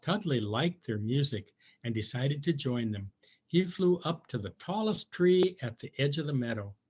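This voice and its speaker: A man's voice: medium pitch, lively intonation, moderate pace, a little mellow, breathy edge, lo-fi sound. A man reading a children's storybook aloud, chatting to his young listeners in conversational asides.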